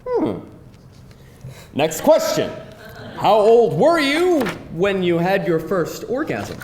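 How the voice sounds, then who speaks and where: Guy acting a cocky teasing dude w/ high lively voice, bossy quizmaster thing, live stage read in an echoey room. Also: takes it slowly, noisy background, heavily acted. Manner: bossy, teasing game-show delivery. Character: cocky, playful man quizzing his date like a mock attorney. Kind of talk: live screenplay table read. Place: indoor room before a live audience.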